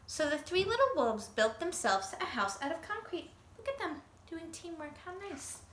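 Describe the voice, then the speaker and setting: A woman's voice: very high-pitched, sing-song, moderate pace, a bit bright. A woman reading a picture book aloud to children like a teacher, expressively, with questions to her listeners. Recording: roomy echo, faint background noise.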